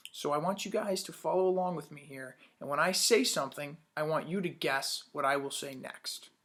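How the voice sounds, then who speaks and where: A man's voice: a little high, moderate pace, a bit bright. A man teaching young children in a read-aloud lesson, upbeat, asking questions and praising answers.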